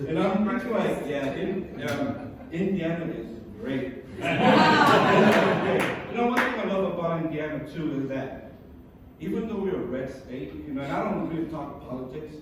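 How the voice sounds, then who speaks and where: Brief: middle-aged male comic, chatty and rambling, slightly high voice, recorded from far across an echoey club. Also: animated, unhurried. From born in Massachusetts, raised in California. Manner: chatty, rambling delivery with many fillers and restarts. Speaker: stand-up comedian. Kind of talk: stand-up comedy set. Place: comedy club stage. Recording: echoey club room, distant mic, background noise.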